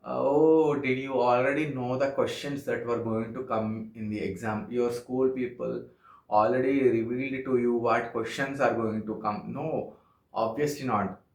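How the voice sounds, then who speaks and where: A young man from Andhra Pradesh whose first language is Telugu, speaking English with a Telugu accent in an earnest, halting vlog monologue full of "okay" fillers. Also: medium pitch, fairly even intonation, a little mellow. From Andhra Pradesh, India. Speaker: vlogger. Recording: echoey room.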